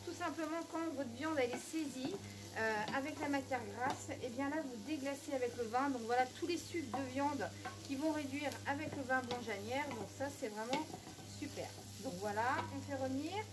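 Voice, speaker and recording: A middle-aged woman hosting a casual cooking demonstration in a small shop, chatty, filling every pause with "so" and "of course". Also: sits high. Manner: chatty, keeps saying "donc" and "bien sûr". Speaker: cooking show host.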